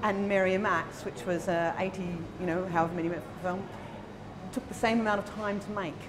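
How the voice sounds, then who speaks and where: An Australian woman in her forties talking conversationally in an interview as a film producer, in an animated, emphatic, informal manner. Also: medium pitch, brisk, noisy background. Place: indoor room by a bright window at a festival. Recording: chatter of people in the background.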